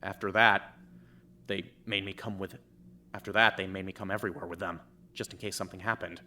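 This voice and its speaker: Guy doing a shaken teen boy, low bright voice, keeps stumbling on words, audio drama. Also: fairly even intonation. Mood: ashamed, halting. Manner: halting, stammering, repeats words. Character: shaken teenage boy confessing abuse. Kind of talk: fan audio drama acting.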